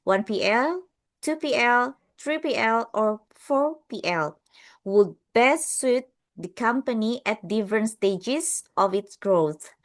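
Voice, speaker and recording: A woman with an Indonesian accent reading a case study aloud in class, careful and unhurried, with small slips. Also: on the high side, expressive.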